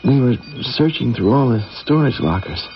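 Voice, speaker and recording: Actor as a gravelly, easygoing astronaut crewmate. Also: male voice, mid-range voice, moderate pace, lightly acted. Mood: groggy, confused. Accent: American. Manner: folksy, easygoing, animated. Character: genial, trusting male astronaut crewmate. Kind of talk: 1980s radio drama acting. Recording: lo-fi old radio broadcast, faint music.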